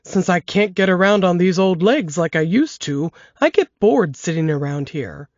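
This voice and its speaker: A woman's voice: fairly low pitch, expressive, normal speed, darkish tone, dry recording, lightly acted. A woman voicing an elderly woman in an assisted living home who coaxes her great-grandson into listening with a folksy, teasing manner. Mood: coaxing. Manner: folksy drawl, teasing and conspiratorial. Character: elderly woman storyteller, spry, sly and coaxing. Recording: dry, dull lo-fi podcast audio.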